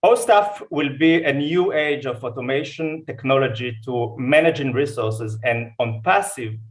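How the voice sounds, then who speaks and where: A man giving effusive thanks and praise at a live online event. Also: medium pitch. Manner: effusive, grateful. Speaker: event participant giving feedback.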